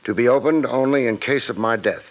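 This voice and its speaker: A man's voice: medium pitch, a little fast, heavy background noise, close to an everyday voice. A man playing a wry, hard-boiled private investigator in a 1950s radio drama, with a clipped tough-guy delivery. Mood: knowing. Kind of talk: 1950s radio detective drama acting and narration. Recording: muffled 1950s radio recording with music.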